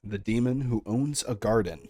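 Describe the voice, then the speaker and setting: A man's voice: lowish voice, moderate pace, warm tone. A young man being interviewed, chatting casually in a laid-back, informal way. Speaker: interviewee, audio series creator. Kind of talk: casual chat. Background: faint music.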